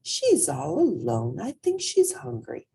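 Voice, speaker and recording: A woman voicing an eager, kind-hearted young schoolgirl in an earnest, expressive storybook reading. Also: on the low side, takes it slowly, gravelly, husky, heavily acted. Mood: tender, earnest.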